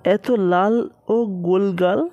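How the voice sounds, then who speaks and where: A woman's voice: mid-range voice, level tone, slow pace, dry recording, dull audio, close to an everyday voice. A woman voicing a naive, greedy, playful Moon character in a children's cartoon. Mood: curious, tempted. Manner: naive, exclaiming.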